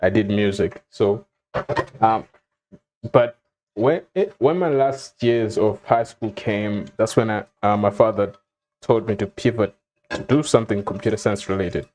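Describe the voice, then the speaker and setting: A young man from Zimbabwe telling his own story in a relaxed, casual way, with frequent ums and soft chuckles. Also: lowish voice, a little slow. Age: young adult. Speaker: vlogger.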